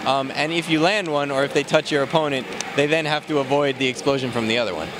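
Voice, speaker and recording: A man casually walking through a game character's moves, explaining in a relaxed way with many fillers, recorded at a noisy event. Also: mid-range voice, quick pace. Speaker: game developer demoing a character. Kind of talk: casual gameplay walkthrough. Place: convention floor, noisy.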